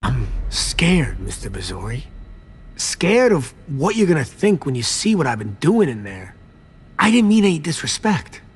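A servile, jittery vampire garage attendant in a game cutscene. His husky voice swings widely in pitch as he ingratiates himself and dodges around things. He sounds eager to please and easily cowed. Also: very animated, unhurried, full character voice. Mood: scared, pleading. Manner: pleading, deferential, evasive. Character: nervous, servile young vampire garage attendant hiding a secret. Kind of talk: video game cutscene acting.

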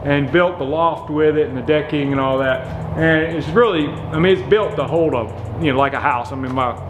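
A man's voice: fairly high pitch, normal speed, music in the background. A man, casually showing off his workshop in a large metal pole barn, rambling with many restarts and self-deprecating asides. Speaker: lawn care business owner showing his shop. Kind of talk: casual shop tour chat.

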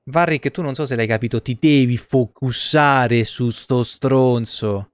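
A man's voice: medium pitch, a little slow, dry recording. A man in his thirties doing live gameplay commentary, grumbling and foul-mouthed as he chats casually. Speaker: video game streamer. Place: indoor room at a streaming desk.

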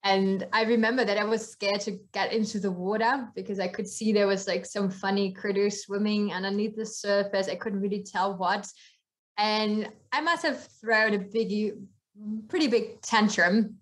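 A woman's voice: mid-range voice, fairly even intonation, moderate pace. Woman with a German accent. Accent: German, mixed with Australian and American. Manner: frank, joking, open. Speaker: guest, marine biologist. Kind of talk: casual interview storytelling.

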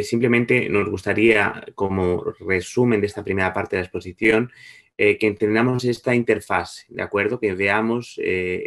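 Man in his 30s, lowish voice, gravelly creak, seminar presenter, explanatory, self-correcting phrasing, video call. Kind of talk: explanatory online lecture. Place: indoor room on a video call. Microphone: video call microphone.

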